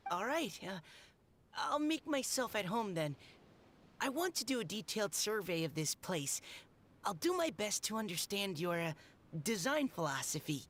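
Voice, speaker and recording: An actress playing a gentle, polite young woman companion in a video game, courteous and slightly hesitant. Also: medium pitch, very animated, normal speed. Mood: polite, grateful.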